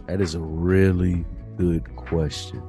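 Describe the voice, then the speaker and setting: A man's voice: takes it slowly, soft background music. Laid-back man telling a story. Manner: animated storyteller, mimics people in higher voices. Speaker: podcast co-host. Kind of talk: casual chat.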